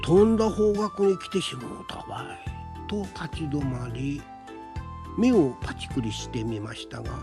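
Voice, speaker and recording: A man reading a scripted folktale in a measured, deliberate storytelling manner with pauses. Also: sits high, a little mellow. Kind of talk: scripted Japanese folktale narration. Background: background music.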